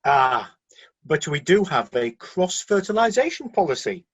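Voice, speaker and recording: A husky, defensive celestial middle manager played by an actor in a satirical radio play. The voice moves in lively swings as it breezily justifies the staff in corporate terms, mildly flustered, on a slightly dull recording. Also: mid-range voice, a little slow.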